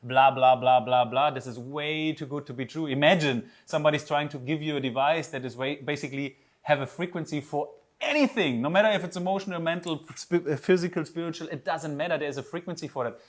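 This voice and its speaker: A man's voice: fairly high pitch, a little fast, few pauses. A man from Germany with a German accent, co-hosting a promotional webinar in an enthusiastic, persuasive way. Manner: enthusiastic, persuasive, non-native phrasing. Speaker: webinar co-host.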